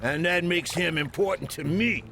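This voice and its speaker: A man's voice: fairly high pitch, normal speed, vocal fry, noisy background. A man playing a wisecracking, gritty mob enforcer in a video game, with a hard-boiled delivery. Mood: cocky, irritated. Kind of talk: hard-boiled narration and game cutscene dialogue.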